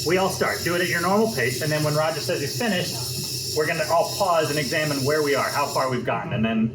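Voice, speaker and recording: A man organizing a workshop, talking to the group in a relaxed way with lots of ums and uhs. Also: on the high side, fairly quick, noisy background. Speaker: event organizer. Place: indoor workshop room. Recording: echoey room with crowd chatter.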